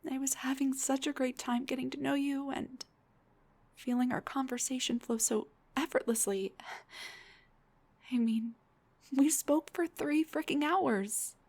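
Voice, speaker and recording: A young woman playing an embarrassed, self-deprecating female Dullahan in an intimate audio roleplay, hesitant and rambling, with a husky, breathy voice. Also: fairly high pitch, a little mellow, full character voice. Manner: hesitant, stammering, rambling confession.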